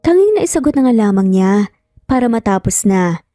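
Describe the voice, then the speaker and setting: Mellow Filipino woman narrating a story. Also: a little high, big pitch swings, moderate pace, no echo, studio quality, soft background music. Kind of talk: scripted romance story narration.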